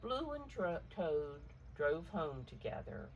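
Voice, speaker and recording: Low-pitched woman reading expressively to preschoolers. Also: slow pace, muffled recording, a little hiss or hum. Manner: expressive, explains pictures in simple words. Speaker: storybook reader for preschoolers. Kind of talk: read-aloud picture book with simple asides to young children.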